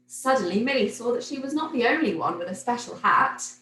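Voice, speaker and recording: A woman reading a children's picture book aloud like a teacher, in an expressive, animated storytelling manner. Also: a little high, brisk. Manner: animated, clearly paced storytelling for young children. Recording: roomy echo, noticeable background noise.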